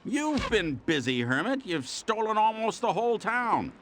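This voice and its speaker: A man's voice: high-pitched, sing-song, moderate pace, a bit bright, slight background noise. A man voicing an exasperated, sarcastic superhero sidekick in a cartoon video game, griping sardonically. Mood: incredulous.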